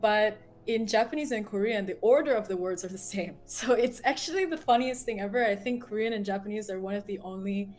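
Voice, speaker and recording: A woman co-host explains things in an animated, casual way with plenty of ums. Her voice sits at a middle pitch, and faint music plays behind her. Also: fairly quick, hardly any pauses. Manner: animated, explains with lots of fillers. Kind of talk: casual chat.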